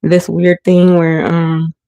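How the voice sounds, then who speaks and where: A woman's voice: lowish voice, completely flat delivery, slow pace, dark tone, clean tone, dry recording. Stuffy woman chatting while doing dishes. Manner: rambling, hesitant, many ums and restarts. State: stuffy, sniffling. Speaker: livestream host. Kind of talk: casual livestream chat. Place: home kitchen. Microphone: phone or webcam while washing dishes.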